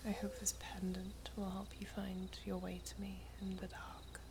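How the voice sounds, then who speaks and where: Woman as lovelorn elven princess, ASMR roleplay, breathy whisper, creaky edge, tender, pleading, noisy ambient backdrop. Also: mid-range voice, completely flat delivery, lightly acted. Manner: soft, breathy, halting whisper, slow and pleading.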